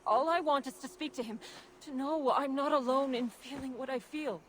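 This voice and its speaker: A woman's voice: high voice, normal speed, a bit bright, a little hiss or hum. An actress playing an earnest, determined female giant in love, in a fantasy video game cutscene. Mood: earnest, yearning.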